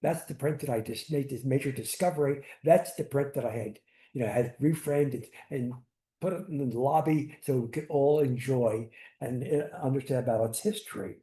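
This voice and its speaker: Halting older man in an echoey hall. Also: medium pitch, warm tone. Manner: halting, restarts, Yiddish words. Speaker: synagogue founder on a panel. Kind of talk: personal storytelling on a panel. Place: community hall panel. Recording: echoey room.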